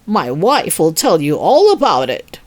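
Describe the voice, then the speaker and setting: A woman voicing a calm, crafty, gently scornful peasant husband in a storybook, speaking in a casual, matter-of-fact way. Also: fairly low pitch, unhurried.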